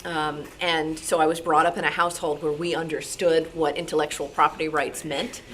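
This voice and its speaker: A woman with a fairly low voice talks informally as a radio programmer fielding questions. She is conversational and animated, often halting to think aloud, on a live meeting recording with faint background noise. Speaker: radio show programmer taking audience questions. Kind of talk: informal talk with audience questions. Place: club meeting room.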